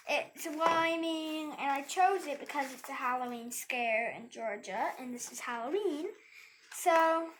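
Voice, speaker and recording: A young girl with a high, bright voice reads a storybook aloud at an unhurried pace. She stumbles over words and goes back to repeat them, and chats casually as a young YouTuber. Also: slight background noise. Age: child. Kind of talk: halting read-aloud of a rhyming storybook, casual chat.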